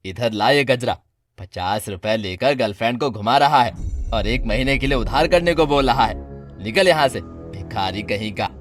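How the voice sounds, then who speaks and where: A man's voice: medium pitch, expressive, normal speed, pitched below the performer's own voice, lightly acted. A man voicing a pushy, scornful shopkeeper with an oily salesman's manner in a cartoon. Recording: dry studio voice with music.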